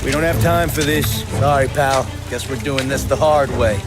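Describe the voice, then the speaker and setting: An actor playing a hostile, forceful armed gangster in a video game. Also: male voice, medium pitch, normal speed, over loud music, natural voice. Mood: threatening. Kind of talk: video game cutscene acting.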